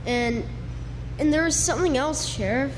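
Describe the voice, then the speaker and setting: Woman as high-voiced earnest frontier boy. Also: moderate pace, close to an everyday voice. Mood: earnest, uneasy. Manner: earnest, emphatic storytelling. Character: earnest, bookish frontier boy, insistent and excitable. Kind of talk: live Western radio drama acting. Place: live stage performance indoors. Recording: noisy live stage, reverberant hall.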